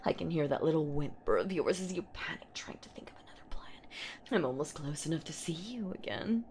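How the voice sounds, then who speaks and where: A woman's voice: sits low, lively intonation, a little mellow, a little hiss or hum. A woman voicing an ancient female boogeywoman in a horror roleplay audio drama, predatory and possessive, with teasing, menacing delivery. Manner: slow, teasing, menacing, breathy whispers and drawn-out words.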